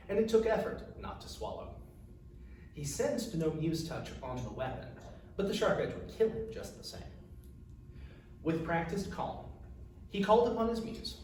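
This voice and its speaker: A man's voice: fairly high pitch, a little mellow. Older man, author reading fantasy, strong room echo, distant from the mic. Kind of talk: author's reading of a fantasy novel, with an informal introduction. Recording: distant microphone, very echoey room, faint noise.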